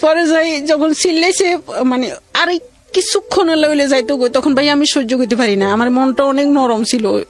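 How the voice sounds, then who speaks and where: A middle-aged woman with a colloquial regional Bangladeshi accent tells her own life story in a halting, rambling, plaintive way. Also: high-pitched, faint music behind. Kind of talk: emotional personal storytelling.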